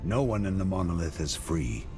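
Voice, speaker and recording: Deep-voiced, grim warrior hero. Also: male voice, sing-song, moderate pace, darkish tone, faint music behind. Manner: terse, stern. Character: grim battle-hungry warrior hero, adult man. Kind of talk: video game cutscene acting.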